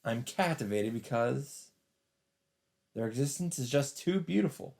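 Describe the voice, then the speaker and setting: A man's voice: mid-range voice, lively intonation, unhurried, vocal fry, a little mellow. Guy doing a visual novel let's play, reads the narration out and drops casual comments. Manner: casual, sweary asides. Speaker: let's player.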